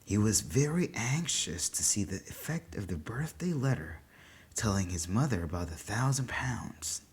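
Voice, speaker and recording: A narrator reading a literary story aloud in a deliberate, dramatic way, with pauses between phrases. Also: mid-range voice, animated, slightly rough. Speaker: story reader.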